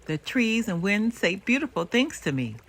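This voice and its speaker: A woman's voice: mid-range voice, big pitch swings, moderate pace, pitched above the performer's own voice. Woman as a young, eager babbling brook, lilting. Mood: eager, pleading. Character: young babbling brook, eager, playful, pleading child-like spirit.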